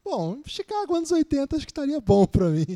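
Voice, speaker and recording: A Brazilian man hosts a casual sports podcast. His voice sits high for a man and swings widely in pitch, giving the talk a very animated feel. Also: fast talker. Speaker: podcast host.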